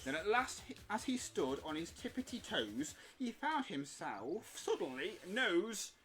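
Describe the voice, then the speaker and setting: A man's voice: high-pitched, sing-song, a little slow, fairly bright tone. A British man playfully and expressively reading a rhyming bedtime story as a streaming parent. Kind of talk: animated rhyming storybook narration.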